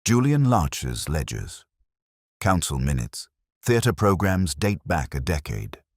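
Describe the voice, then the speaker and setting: An extremely low-voiced man narrates a scripted mystery story. His tone is husky and his pitch moves expressively through each phrase, recorded very dry and close. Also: very animated, slow, darkish tone, no room sound.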